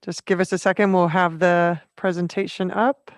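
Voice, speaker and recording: Meeting presenter, matter-of-fact intros, smooth even delivery, dry video-call sound. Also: mid-range voice, normal speed, no echo.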